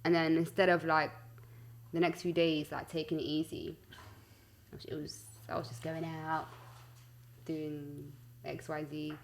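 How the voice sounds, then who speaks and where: A young woman with a London accent and a fairly low voice. She talks in a loose, slangy, conversational style, spinning out anecdotes with a giggly, animated manner. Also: a little slow, gravelly, pauses often, a little hiss or hum. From West London, UK. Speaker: singer-songwriter interview guest. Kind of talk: casual chat.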